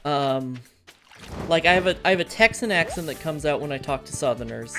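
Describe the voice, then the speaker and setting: A woman's voice: low voice, lively intonation, brisk. A woman streamer hosting a casual chat while gaming, frank and rambling, with casual swearing. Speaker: streamer and host. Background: game music.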